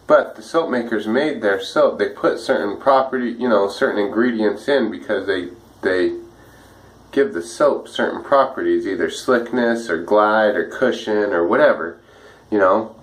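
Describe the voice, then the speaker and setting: Easygoing man with a slightly rough voice. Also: on the low side, unhurried, rough recording. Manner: easygoing, rambling, many fillers and restarts. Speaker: wet shaving vlogger. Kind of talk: casual talk while shaving.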